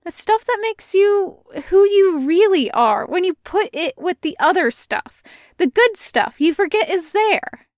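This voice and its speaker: A woman voicing a bright, earnest young pirate captain. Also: very high voice, animated, unhurried, dry recording, clean studio sound, voice pushed up. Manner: earnest, plainspoken, warm-hearted. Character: cheerful, blunt young pirate captain, male. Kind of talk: podfic reading of fan fiction.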